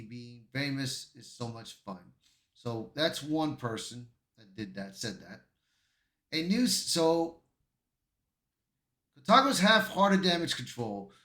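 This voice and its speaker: A man's voice: mid-range voice, lively intonation, measured pace, a bit bright. A man giving casual online commentary and reading aloud, often stumbling over his words. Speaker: YouTube commentator. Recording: roomy, echoey voice.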